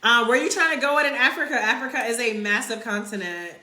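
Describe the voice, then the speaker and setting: Woman, from North Carolina, livestream host, casual rambling chat, lots of fillers, bright tone, phone mic, echoey room. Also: a little high, quick pace. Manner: chatty and rambling, many "like" fillers, answers comments aloud. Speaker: travel creator hosting a live Q&A. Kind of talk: casual livestream chat reading viewer comments. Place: indoors at home under a ring light.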